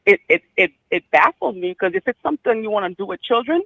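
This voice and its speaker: A man calling in on a phone line, a former police officer, hesitant, with many pauses and restarts. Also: high-pitched, sing-song, dry recording. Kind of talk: casual call-in chat.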